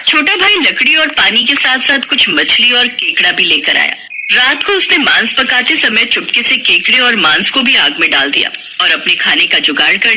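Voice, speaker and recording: Female folk-tale narrator, animated intonation, audibly noisy recording. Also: mid-range voice. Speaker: storyteller.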